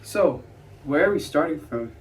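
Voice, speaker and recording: Dryly joking male player played by a man, fairly high, distant pickup, 1940s radio play. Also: lively intonation, normal speed, a little hiss or hum, lightly acted. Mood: wry. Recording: distant from the mic.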